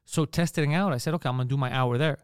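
Brief: comedian guest, Montreal Greek accent, rapid animated chat, dry close sound. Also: male voice, medium pitch, clear, resonant tone, no echo. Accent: Montreal Greek (Park Extension). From Montreal, Canada. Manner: animated, swears freely, quick restarts. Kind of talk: casual podcast chat.

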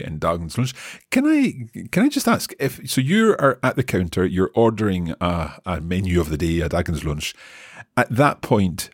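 Man, very low voice, husky, hesitant learner, dry close sound. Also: no room sound. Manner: hesitant, repeats words, curious questions. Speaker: language podcast host, learner. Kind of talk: casual language lesson chat.

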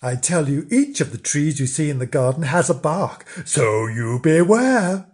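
A woman's voice: deep voice, lively intonation, unhurried, a little mellow. A woman voicing a sly male animal trickster in a storybook, mock-solemn and emphatic in giving warnings. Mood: insistent.

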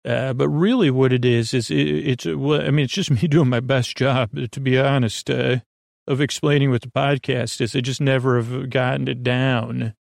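A man's voice: mid-range voice, steady tone, normal speed, gravelly, no room sound, studio quality. A man hosting a podcast in a casual, goofy bedtime monologue, meandering and self-deprecating, often trailing off and restarting his sentences. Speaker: podcast host.

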